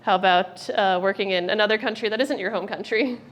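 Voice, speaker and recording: A young American woman informally introducing a workshop to a live group, chatty, friendly and a little hesitant. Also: medium pitch. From United States. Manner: hesitant, frequent ums and pauses, chatty and friendly. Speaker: workshop presenter, research director. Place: indoor workshop room with an audience. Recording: live room with clear background noise.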